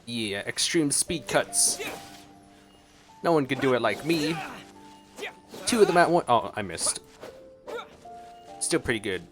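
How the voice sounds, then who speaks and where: Mid-pitched man reacting to a game. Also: animated, slow pace, long pauses, music in the background. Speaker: video game streamer. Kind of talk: casual gameplay reaction commentary.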